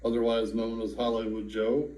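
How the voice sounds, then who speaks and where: A man in his thirties calmly sharing his own story in a personal testimonial, with some fillers. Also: medium pitch, echoey room, low-quality recording. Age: 30s. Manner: slow, calm, with fillers. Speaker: adult with congenital heart disease.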